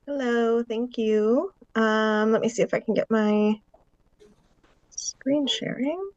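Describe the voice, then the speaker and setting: A woman's voice: fairly high pitch, takes it slowly, dry recording. Congested woman presenting over a dull call. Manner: steady, explanatory walk-through of figures and programs. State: congested, under the weather. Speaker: staff presenter at a county board meeting. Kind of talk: presenting a funding update in a public meeting.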